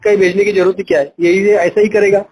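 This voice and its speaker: A man's voice: high-pitched, little pitch movement, quick pace, a little mellow. A man speaking as a parent in an informal phone conversation, hesitant and thinking things over aloud. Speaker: parent being pitched by a salesperson. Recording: noisy phone call recording.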